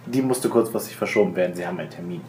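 A man plays an officious elf doctor in a tabletop game, curt and dismissive. His voice is fairly low and formal, recorded in a roomy space with clear noise. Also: sing-song, rapid-fire, warm tone. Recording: echoey room with clear noise.

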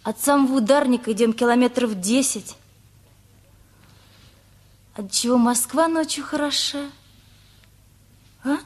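Lively teen girl, really high voice bit husky, chattering away, radio play. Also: unhurried, long pauses. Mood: dreamy, chatty. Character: lively, chatty teenage girl just out of school.

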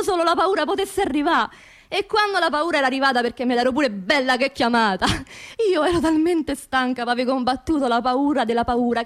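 An actress performs a working-class woman with a high voice and a strong Roman accent. She is anxious and grieving, raw and plain-spoken. She confides in the listener as in a radio theatre monologue, repeating her words with insistence. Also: natural voice. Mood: anxious, agitated.

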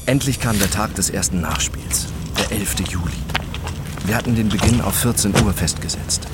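An actor playing a detached, methodical first-person narrator in a radio drama, measured, precise and matter-of-fact. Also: male voice, on the low side, heavy background noise, close to an everyday voice.

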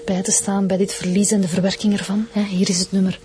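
An actress playing a considerate, composed police detective with a Flemish accent, in a naturalistic radio crime drama. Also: female voice, medium pitch, level tone, fast talker, warm tone, natural voice.

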